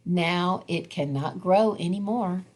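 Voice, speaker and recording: A woman reads a picture book aloud for young children. Her voice sits a little low, and she takes her time with an expressive, measured delivery, giving each phrase room. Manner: expressive, measured, deliberate storytelling. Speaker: storybook reader.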